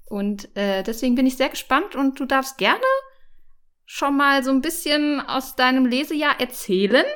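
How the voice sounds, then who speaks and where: A woman opens a book podcast in a playful, joking tone and teases her co-hosts. Her voice sits slightly high, and the talk is relaxed and casual. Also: moderate pace, clear, resonant tone. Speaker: podcast host. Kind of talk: casual chat.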